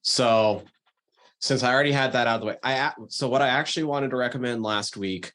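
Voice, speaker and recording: A man's voice: mid-range voice, a little fast, fairly bright tone. A man hosting a podcast, making recommendations in a casual, emphatic way with enthusiastic swearing. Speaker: podcast host. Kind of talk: casual chat, giving recommendations.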